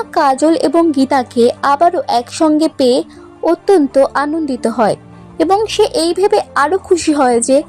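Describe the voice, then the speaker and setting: A woman's voice: high voice, darkish tone. A woman narrating a scripted children's story as a storyteller, with music behind her. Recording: music bed under the voice. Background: background music.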